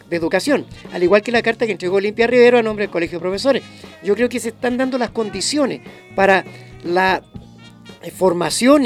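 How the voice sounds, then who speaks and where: A man in his fifties, a radio guest speaking earnestly with many hesitations. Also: sits high. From Chile. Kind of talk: radio discussion.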